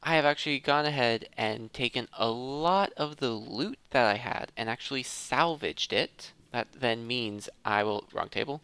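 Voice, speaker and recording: A man's voice: mid-range voice, moderate pace, hardly any pauses, pushed and bright, clear, resonant tone, dry recording. A man hosting a gameplay video, thinking aloud in a casual commentary addressed to his viewers. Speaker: host of a gaming let's play series.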